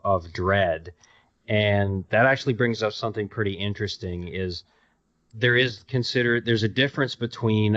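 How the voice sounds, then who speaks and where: A man with a fairly low voice speaks as a podcast co-host. He explains his points in a measured, halting manner, still conversational. The audio is somewhat dull and lo-fi. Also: fairly bright tone. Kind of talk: casual discussion, explaining.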